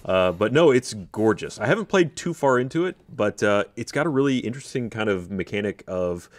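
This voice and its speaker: A man's voice: on the low side, expressive, a little fast, no room sound. A man in his thirties co-hosting a gaming podcast, chatting casually in a playful, joking way. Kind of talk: casual chat. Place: podcast studio, indoors. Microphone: studio podcast microphone at desk.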